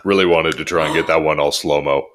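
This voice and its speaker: Male podcast host, deep register, casual and joking, comfortable with profanity. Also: steady tone, quick pace, a bit bright, a little hiss or hum. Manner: profane, jokey. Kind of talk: casual podcast chat and animated story reading.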